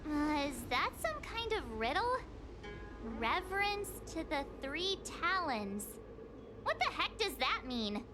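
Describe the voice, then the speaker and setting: A woman voicing a small, childlike girl companion in a video game, chatty, dramatic and expressive. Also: sits high, takes it slowly, exaggerated voice. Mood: puzzled. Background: background music.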